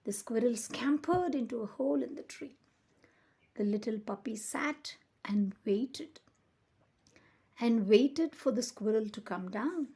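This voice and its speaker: A woman reading a children's picture book aloud as a storyteller, with expressive, deliberate emphasis. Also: fairly high pitch, vocal fry, breathy edge.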